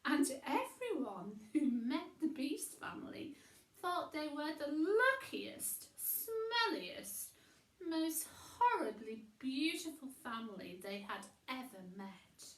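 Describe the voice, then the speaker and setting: A woman reading a children's bedtime story in a theatrical way, with dramatic pauses. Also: high-pitched, big pitch swings, echoey room, low-quality recording.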